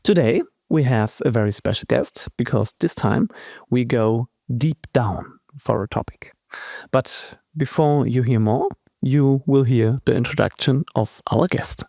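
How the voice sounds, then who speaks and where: A man's voice: medium pitch, a little slow, gravelly, dark tone, dry recording, studio quality. A man hosting a science podcast, opening the show and putting brief questions to his guest. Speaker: podcast host. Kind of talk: podcast interview.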